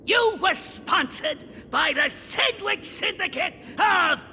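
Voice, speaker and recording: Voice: very high voice, animated, slow, bright, forceful tone, raised voice, in a large hall, slight background noise, exaggerated voice. An actor voicing an eccentric, boastful little gang boss creature in a video game, chatty and theatrical, chuckling and wheedling. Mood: showy, sly. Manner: theatrical, chatty, punctuated with 'eh' and 'huh'.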